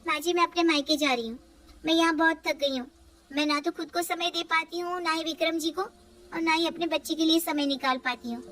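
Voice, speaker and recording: A woman's voice: extremely high pitch, steady tone, fairly quick, fairly bright tone, pitched above the performer's own voice. A woman voicing a tired young wife who pleads and insists she needs help at home. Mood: pleading, fed up.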